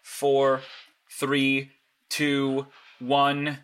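A man's voice: medium pitch, monotone, drawn-out words, fairly bright tone, clean tone. A man playing a controlled, pushy vigilante mentor in an audio drama, speaking in terse, insistent orders. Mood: urgent, commanding. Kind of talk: naturalistic audio drama acting.

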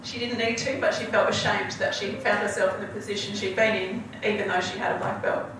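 A woman's voice: mid-range voice, fairly even intonation, brisk, fairly bright tone. A woman telling a story as a panel guest, earnest and dotted with ums. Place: large event hall. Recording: echoey hall, distant mic, background noise.

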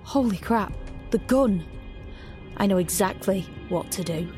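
A woman's voice: medium pitch, measured pace, music in the background, lightly acted. An actress playing a determined fugitive, narrating her ordeal as an intense inner monologue in an audio drama. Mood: awed, determined.

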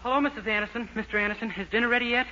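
A man's voice: extremely high pitch, steady tone, rapid-fire, pushed and bright, heavily acted. A man playing a naive, cheerful, dim-witted young man in a 1940s radio sitcom, speaking with guileless earnestness. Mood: innocently puzzled. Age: young adult. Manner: guileless, earnest, literal-minded. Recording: noisy, muffled 1940s radio recording.

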